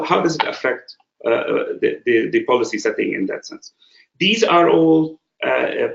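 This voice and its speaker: A man, an associate professor, giving a webinar presentation in a halting way, with frequent filler sounds and rhetorical questions. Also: fairly high pitch, gravelly, slight background noise. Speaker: associate professor and program coordinator. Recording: roomy, dull lo-fi webinar audio.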